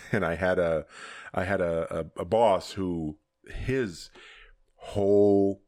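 A man's voice: low-pitched, slow. A man hosting a podcast, telling stories in a casual, profane way and laughing often. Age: adult, hoping to see future grandkids. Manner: profane, animated storytelling with frequent laughs. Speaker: podcast host.

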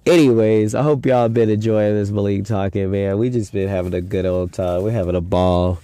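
A man's voice: fairly low pitch, steady tone, normal speed, dark tone, clear, resonant tone, no room sound. A young man hosting a casual solo podcast, talking in a loose, rambling, slangy way with a stuffy nose from a head cold. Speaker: podcast host.

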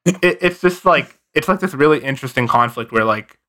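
A man from Canada chatting casually on a podcast in a halting way, leaning heavily on "like". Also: medium pitch, lively intonation, pushed and bright. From Canada, born in India. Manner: halting, filler-heavy, frequent "like". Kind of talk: casual group chat.

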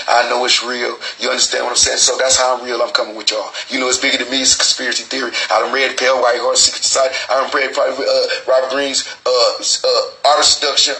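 A man's voice: mid-range voice, bright, forceful tone, rough recording. Rambling, profane man in his forties. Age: 40s. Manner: rambling, profane, preachy with restarts and stutters. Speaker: show host. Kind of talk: freewheeling street monologue. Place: small recording booth.

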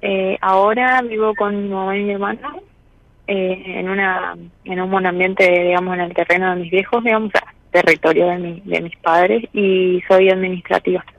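A woman's voice: mid-range voice, steady tone, moderate pace. An Argentine woman in her thirties on a call, talking casually and hesitantly with many filler words. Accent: Argentine Spanish. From Misiones, Argentina. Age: 30s. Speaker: caller. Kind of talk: casual personal chat. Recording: dull, band-limited call audio.

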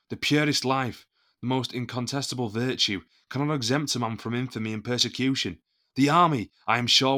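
A man's voice: mid-range voice, pushed and bright, close to an everyday voice. A man playing a proud, loyal captain of the musketeers in a period audio drama, speaking formally and with indignant emphasis.

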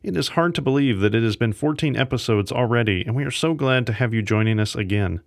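A man hosting a devotional podcast, reading a scripted introduction in a welcoming, measured way. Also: medium pitch, no room sound, clean studio sound. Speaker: podcast host.

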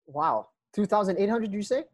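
A man's voice: high-pitched, moderate pace, no room sound. Man, interviewer, casual conversational delivery, very dry recording. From Philippines and Indonesia.

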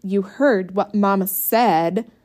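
A woman's voice: mid-range voice, slow, a little mellow, natural voice. A woman voicing a prim, bossy older sister who corrects and wheedles. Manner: prim, syrupy sweet when wheedling.

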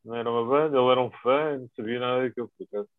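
A man in casual chat, laid-back and quick to agree. His voice comes through thin and tinny, like a phone line. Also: mid-range voice, fairly even intonation, over a noisy crowd.

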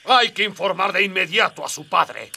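A man's voice: high voice, animated, normal speed, gravelly, pushed and bright, exaggerated voice. A man playing a stern, pompous and easily flustered alien colonel, barking officiously in a comedic radio drama.

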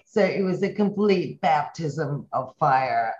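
A woman's voice: sits low, expressive, slow pace, darkish tone, lo-fi sound. A woman in her fifties who grew up in Hong Kong, a company chief executive answering interview questions in an earnest, reflective way. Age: 50s. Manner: earnest, reflective, speaks in long run-on thoughts. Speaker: company CEO, interview guest.

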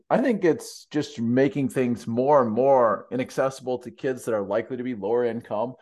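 A man's voice: medium pitch, darkish tone. A man co-hosting a casual discussion, with a big voice and a dry, wry humor. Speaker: podcast co-host.

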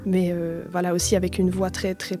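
A young woman, a singer-songwriter answering interview questions in a hesitant, soft-spoken way with many fillers. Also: lowish voice, flat delivery, brisk, faint music behind. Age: young adult.

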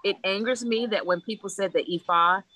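A woman's voice: medium pitch, moderate pace, sharp tone, no room sound, low-quality recording. A woman from Haiti, a priestess, talking bluntly and animatedly in a casual conversation, laughing often and swearing freely. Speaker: Ifa priestess guest.

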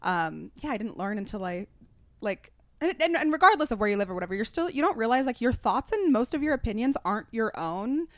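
A woman in her mid-twenties from Utah, a podcast guest telling lively stories in casual chat. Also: fairly high pitch, a little fast, no room sound, studio quality. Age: 20s. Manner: animated storyteller, acts out quoted voices.

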